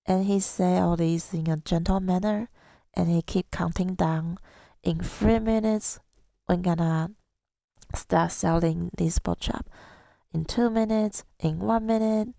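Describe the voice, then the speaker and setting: A woman speaks softly and slowly in a lowish voice, heavy with fry. She tells her story in a gentle ASMR manner with a breathy, whispery edge, very close and dry on the microphone. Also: fairly even intonation, dry recording. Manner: gentle, unhurried, partly whispered. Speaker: ASMR audio creator. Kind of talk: soft-spoken ASMR storytelling.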